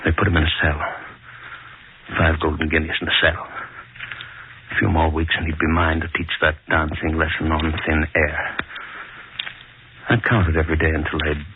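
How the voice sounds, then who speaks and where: A man's voice: rumbling low, completely flat delivery, normal speed, pauses often. An actor playing a morbid, guilt-ridden prison hangman in 1940s radio horror style, muttering in terse, repeated fragments. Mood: haunted brooding. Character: morbid, brooding male prison hangman confessing his guilt. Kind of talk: 1940s radio horror acting, haunted narration. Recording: noisy, narrow-band 1940s radio recording.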